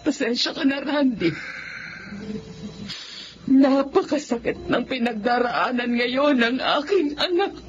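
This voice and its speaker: A woman acting a gentle, tearful middle-aged mother in a Filipino radio drama. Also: high-pitched, lively intonation, takes it slowly, long pauses. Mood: apologetic, sad. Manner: tearful, consoling. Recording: muffled radio drama with background noise.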